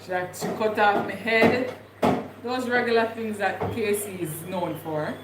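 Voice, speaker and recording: A woman's voice: mid-range voice, a little slow. Chatty Jamaican woman in an echoey room. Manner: rambling, thinking aloud with many fillers. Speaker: vlogger. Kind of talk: casual livestream chat. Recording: echoey room with clear background noise.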